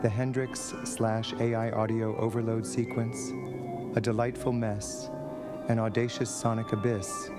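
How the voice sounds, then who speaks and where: A man's voice: mid-range voice, little pitch movement, measured pace, loud music behind the voice. A man reading a scripted satirical review in a radio play.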